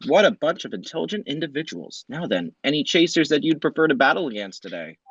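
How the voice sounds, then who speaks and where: A man hosting a quiz game show, reading questions in a brisk, announcer-like way. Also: a little high, big pitch swings, fairly bright tone, slightly rough, no room sound, highs cut off.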